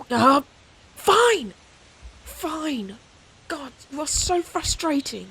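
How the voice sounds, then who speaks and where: A proud lady knight, acted by a woman, with a fairly high voice and a stern, scolding manner. She talks straight to the listener as in an audio roleplay, exasperated yet secretly fond. There is some noise behind her. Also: creaky, exaggerated voice.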